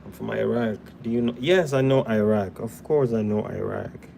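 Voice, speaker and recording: A man's voice: lowish voice, moderate pace, creaky, warm tone, slight background noise. A young man from Ghana hosting a live drawing tutorial, chatting casually with viewers while he sketches at a desk indoors. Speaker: drawing livestream host.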